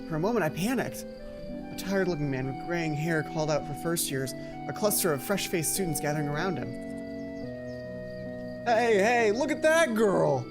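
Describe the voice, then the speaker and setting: A woman with a lowish voice plays through a story game, reading narration aloud. She keeps interrupting herself with playful, chatty asides. Game music plays clearly behind her. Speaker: let's play streamer. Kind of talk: reading game script aloud with casual commentary. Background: video game music.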